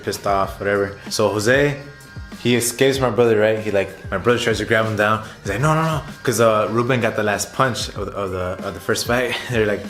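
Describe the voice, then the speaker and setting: Young guy vlogger telling a story, kinda deep voice, echoey room, music underneath. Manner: animated retelling, chuckles often, addresses viewers as guys. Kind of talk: casual storytime to camera. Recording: roomy echo, music underneath.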